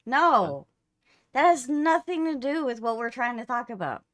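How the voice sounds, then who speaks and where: A woman's voice: on the high side, big pitch swings, unhurried, no room sound. A woman hosting a livestream in casual chat, teasing her co-host playfully, close on the mic.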